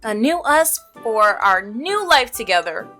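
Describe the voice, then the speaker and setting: A woman's voice: on the high side, big pitch swings, a little slow, pushed and bright, faint music behind, full character voice. Woman voicing an earnest, devoted milkman. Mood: fond, devoted. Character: earnest, devoted married milkman, practical working man. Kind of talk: visual novel character reading.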